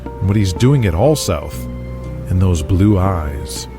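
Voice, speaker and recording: A man's voice: sits low, lively intonation, slow. A man reading dramatic scripted narration in the present tense as the narrator of an audio drama. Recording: music playing behind.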